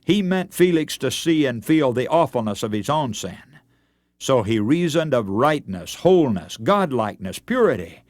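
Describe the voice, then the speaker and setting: A man delivers a radio sermon as an orator would, leaning on repeated phrases and deliberate pauses. His mid-range voice rises and falls widely for emphasis. The sound is dry, with very little room around it. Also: medium pitch, very animated, no room sound. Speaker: radio preacher and broadcast host.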